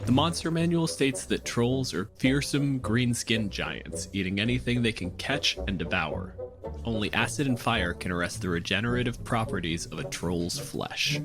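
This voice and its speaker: A man's voice: fairly low pitch, moderate pace, music in the background. A man from Canada co-hosting a podcast in a conversational way. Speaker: podcast co-host, physicist.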